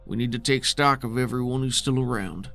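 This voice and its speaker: A grave male baron acted out by a man in a tabletop roleplaying game. His voice is gravelly and mid-pitched, formal and solemn, with faint music underneath. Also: a little fast, bright, forceful tone. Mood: grave, urgent.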